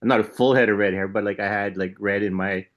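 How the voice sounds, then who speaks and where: A man's voice: fairly low pitch, fairly even intonation, fast, lo-fi sound. A man co-hosting a podcast in casual chat, skeptical and loose, chuckling as he rambles. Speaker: podcast co-host.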